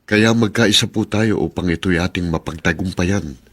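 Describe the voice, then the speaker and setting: A man's voice: low voice, moderate pace, close to an everyday voice. A man playing an earnest, respectful family supporter in a melodrama, with a Filipino accent and a polite, exhorting manner. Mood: earnest, encouraging. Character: earnest, respectful younger relative rallying the family.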